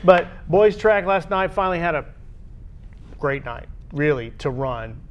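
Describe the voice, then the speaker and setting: An American man hosting a local high school sports show with casual chat, moving the discussion along. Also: expressive, measured pace, lo-fi sound.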